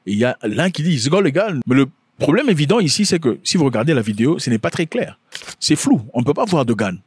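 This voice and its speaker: A man's voice: fairly high pitch, big pitch swings, quick pace, slightly rough, no room sound, clean studio sound. A man whose first language is not French, commenting on a news video in a casual style, asking many rhetorical questions and often restarting his words.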